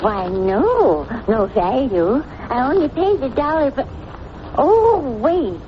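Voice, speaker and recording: A woman's voice: high voice, big pitch swings, takes it slowly, heavily acted. An actress playing a timid, prim spinster landlady, hesitant and stammering, in an old-time radio drama. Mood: fluttery, prim. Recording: muffled old radio recording, faint noise.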